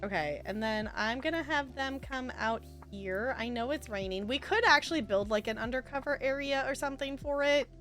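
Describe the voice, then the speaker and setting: A woman's voice: sits high, normal speed, few pauses, fairly bright tone, faint music behind. A woman streaming a life simulation game with casual, rambling commentary, chatting to viewers and to the game's characters.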